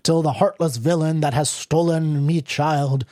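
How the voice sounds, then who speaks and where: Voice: medium pitch, fairly even intonation, unhurried, dark tone, no room sound, studio quality, close to an everyday voice. A reader doing a sardonic, broke male writer. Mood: derisive. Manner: sardonic, blunt, defiant. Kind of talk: audiobook character reading.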